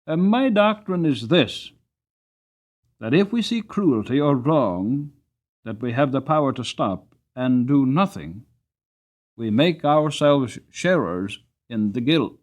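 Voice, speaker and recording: A man voicing a principled gentleman in an audiobook, speaking in an earnest, emphatic and moralizing way. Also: medium pitch, lively intonation, takes it slowly, pitched above the performer's own voice. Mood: earnest, righteous. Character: principled, earnest gentleman who challenges cruelty. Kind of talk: dramatic audiobook character reading.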